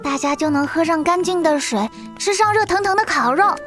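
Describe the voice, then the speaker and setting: Casting: childlike beast-folk girl, very high register, blunt and eager, animated fantasy. Faint music behind. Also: brisk. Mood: hopeful, cheerful. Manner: childlike, refers to self by name. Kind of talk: animated fantasy drama acting.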